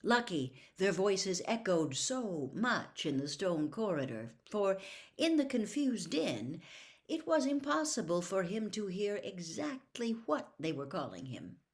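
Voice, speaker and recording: A woman narrating a story as a dramatic audiobook reader, expressive and deliberate. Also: mid-range voice.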